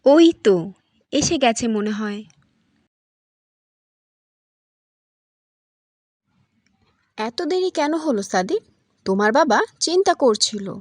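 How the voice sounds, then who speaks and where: A woman playing a caring cartoon mother with a high, soothing voice that gently coaxes. The recording is dry and close, with no room sound. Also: big pitch swings, moderate pace, no room sound. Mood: concerned. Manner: soothing, coaxing. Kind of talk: cartoon voice acting.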